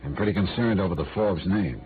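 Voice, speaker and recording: An actor playing a suspicious, world-weary insurance investigator in a radio noir drama, with wry, understated delivery. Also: male voice, sits low, brisk, lightly acted. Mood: guarded. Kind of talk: 1950s radio noir acting. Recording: tinny old radio recording, organ music.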